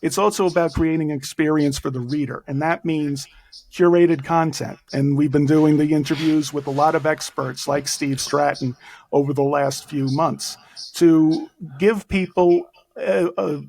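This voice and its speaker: A man with a Bronx accent talks as an author guest on an interview podcast. His voice sits a little high for a man, and he speaks in brisk, self-deprecating runs. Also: gravelly, darkish tone. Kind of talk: casual interview conversation.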